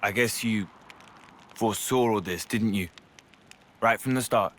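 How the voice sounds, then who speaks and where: An actor with an English accent playing a blunt, easygoing, warm-hearted young fighter in a video game cutscene, with colloquial, straight-talking delivery. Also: male voice, fairly low pitch, measured pace, a little hiss or hum, natural voice. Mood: subdued.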